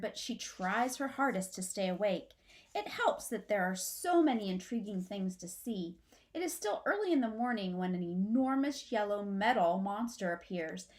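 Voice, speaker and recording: A middle-aged woman reads a children's storybook aloud like a teacher, expressively, as if to young listeners. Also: mid-range voice. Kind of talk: storybook read-aloud narration. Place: small furnished room indoors at home.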